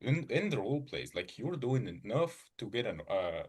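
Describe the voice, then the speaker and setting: A man's voice: mid-range voice, big pitch swings, moderate pace, a crowd in the background. A man answering questions in an online class over a video call, talking it through in loose casual phrasing. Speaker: student on a call. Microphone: video call mic.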